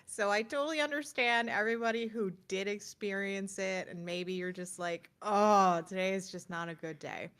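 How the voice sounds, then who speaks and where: A woman's voice: mid-range voice, moderate pace, hardly any pauses, a bit bright, clean tone. A woman co-hosting a gaming news show in casual, chatty, loosely rambling talk. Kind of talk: casual chat.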